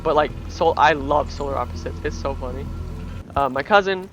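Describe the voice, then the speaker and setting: A young woman with a deep voice streaming on a headset, rambling and swearing casually with lots of filler. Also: moderate pace, lo-fi sound. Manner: rambling, restarts, heavy "like" filler, swears freely. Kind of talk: casual livestream chat. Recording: music playing under the voice.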